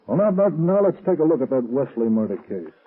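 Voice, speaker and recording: Racketeer boss for 1930s radio crime serial: rough-edged, blustering, scheming, mid-pitched, narrow vintage sound. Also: male voice, very animated, brisk. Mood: scheming, uneasy. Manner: curt, blustering, hard-boiled. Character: scheming male racketeer boss, worried and domineering. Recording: muffled, band-limited old radio recording.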